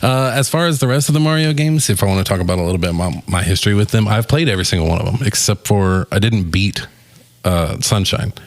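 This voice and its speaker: A man's voice: fairly low pitch, fast talker. A man co-hosting a podcast in casual, conversational chat. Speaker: podcast co-host.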